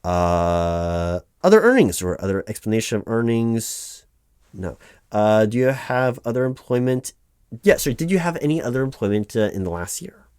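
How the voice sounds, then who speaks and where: A man's voice: mid-range voice, lively intonation, moderate pace, a little mellow, clean tone, dry recording, studio quality, natural voice. A man playing a flustered, apologetic office clerk in a one-sided ASMR roleplay, hesitant and rambling as he corrects himself. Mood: businesslike, distracted. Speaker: claims paperwork interviewer.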